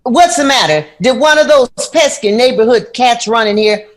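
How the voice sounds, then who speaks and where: A woman's voice: fairly high pitch, moderate pace, lightly acted. A woman playing a bewildered mother of grown children in an audio drama. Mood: startled, bewildered.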